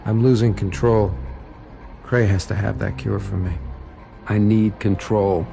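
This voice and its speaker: Gravelly, brooding tormented soldier. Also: male voice, on the low side, steady tone, a little slow, mellow, faint music behind. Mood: weary, bitter. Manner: brooding, terse inner monologue. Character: grim, tormented male soldier turning mutant. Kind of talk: video game narration in character.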